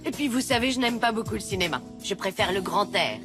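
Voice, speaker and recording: A woman voicing a kindly primary school teacher in a French cartoon, with teacherly, gently teasing phrasing. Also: mid-range voice, lively intonation, quick pace, music in the background, close to an everyday voice. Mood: gently amused. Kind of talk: French animated series voice acting.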